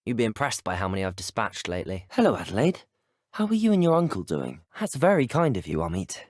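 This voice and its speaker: Teenage wizard schoolboy, British accent, earnest and inquisitive, courteous video game hero, lively swooping intonation, slightly husky, close dry sound. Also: male voice, fairly low pitch, fast, dry recording. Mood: curious, frank, then polite, friendly. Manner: courteous, well-spoken. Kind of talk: scripted video game dialogue voice lines.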